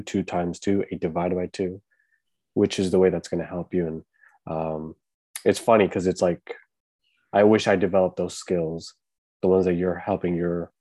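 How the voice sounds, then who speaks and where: A man hosting a podcast in casual conversation, with a calm, laid-back, monotone delivery. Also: deep voice, no room sound. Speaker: podcast host.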